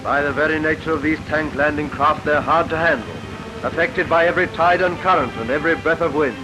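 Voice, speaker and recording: A man's voice: a little high, fairly quick, poor audio. A British man reading scripted wartime newsreel commentary in a rousing, declamatory manner, on a vintage 1940s film soundtrack. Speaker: newsreel narrator. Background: loud newsreel music.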